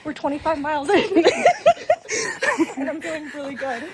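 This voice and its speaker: A woman's voice: high-pitched, fairly even intonation, moderate pace, a loud crowd. A woman out running with a friend, joking as she talks.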